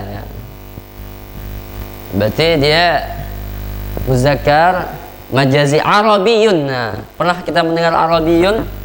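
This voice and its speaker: A man's voice: fairly high pitch, a bit bright. Indonesian man teaching, reverberant hall. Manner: drills vocabulary, asks the class questions, many "ya" tags. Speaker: Arabic grammar teacher. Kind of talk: classroom grammar lesson. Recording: long hall reverb, faint mains hum.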